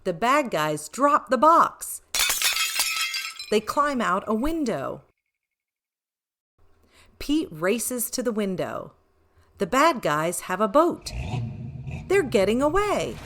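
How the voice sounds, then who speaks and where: A woman reading a children's picture book aloud in an expressive, deliberate way with emphasis on short phrases. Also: a little high, background noise. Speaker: read-aloud storyteller for children.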